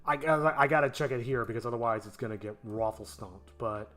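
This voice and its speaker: A man thinking aloud in casual, self-questioning, sometimes profane commentary while he edits his animated show. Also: medium pitch, brisk, soft background music.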